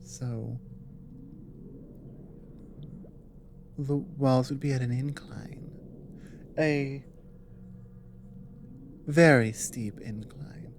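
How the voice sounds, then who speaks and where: A woman playing an earnest, apologetic female dragon in an ASMR audio roleplay, explaining things hesitantly in a soft voice. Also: rumbling low, fairly even intonation, drawn-out words, pauses often, a little hiss or hum, full character voice. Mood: explaining, measured. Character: earnest, apologetic female dragon warning a human ally of war.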